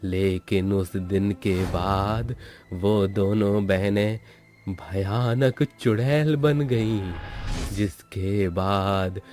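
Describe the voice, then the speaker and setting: A man's voice: lowish voice, very slow, a little mellow, soft background music. A man narrating a scripted horror story.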